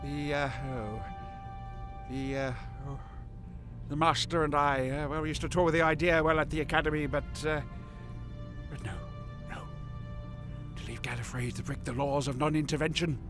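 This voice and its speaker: An actor playing a flustered young Time Lord with an old man's manner, halting and fussy in an audio drama. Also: a little high, music in the background, natural voice. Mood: anguished, reluctant. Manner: hesitant, halting, fussy, adding "hmm?" and "my dear".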